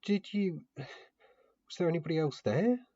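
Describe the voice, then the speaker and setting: A man playing a kindly, anxious man minding children in a fantasy story, hesitant and gently reassuring, in a muffled home recording. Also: on the high side, animated, a little slow, pitched above the performer's own voice. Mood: gentle, uneasy.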